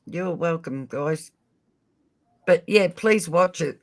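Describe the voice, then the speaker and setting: Man, somewhat high voice, gravelly, husky, friendly, casual livestream host, talks to viewers. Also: slow pace. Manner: friendly, addresses viewers directly. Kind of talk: casual live chat.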